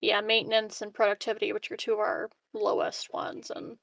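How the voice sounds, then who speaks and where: Woman, medium pitch, creaky fry, tabletop player, casual strategy talk, dry sound. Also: completely flat delivery, fairly quick, fairly bright tone, no room sound, poor audio. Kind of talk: casual game strategy discussion.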